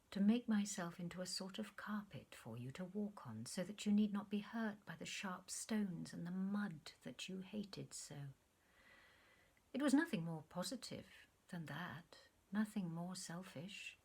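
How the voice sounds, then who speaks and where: A woman's voice: on the low side, lively intonation, measured pace, gravelly, darkish tone, husky, full character voice. A woman voicing a self-absorbed, wistful man who reminisces to a former lover, effusive and earnest, in a short story reading. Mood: soft, intimate.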